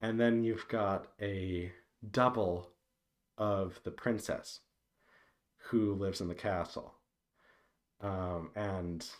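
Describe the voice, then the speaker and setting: A man giving a casual, unscripted update to viewers, thinking aloud in a hesitant, meandering way with halting pauses. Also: lowish voice. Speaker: visual novel developer giving a devlog update.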